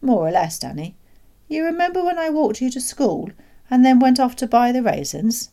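Lady reading as a sly proud dad in a kids story, cheery n confiding, soft mellow tone. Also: high voice, sing-song, moderate pace, pitched above the performer's own voice. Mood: happy, boastful. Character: proud, sly father, keen country schemer. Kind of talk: children's storybook read aloud.

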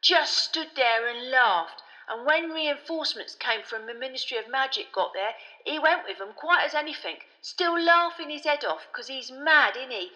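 A woman's voice: high voice, expressive, moderate pace, few pauses, sharp tone, in a large hall, lo-fi sound, pitched above the performer's own voice. A woman voicing a chatty, easily spooked young male bus conductor in an audiobook, with colloquial speech and dramatic tag questions. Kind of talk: audiobook character voice.

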